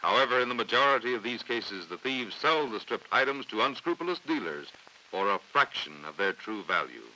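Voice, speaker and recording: An American man reading scripted training film narration in a formal, authoritative, measured way on a vintage 1950s soundtrack. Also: mid-range voice, big pitch swings, bright, forceful tone, poor audio. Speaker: documentary narrator.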